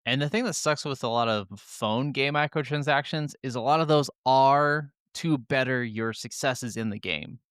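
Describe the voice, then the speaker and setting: Smooth, clear man chatting casually. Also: mid-range voice, normal speed, few pauses, a bit bright, no room sound, studio quality. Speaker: podcast co-host. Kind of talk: casual opinionated gaming chat.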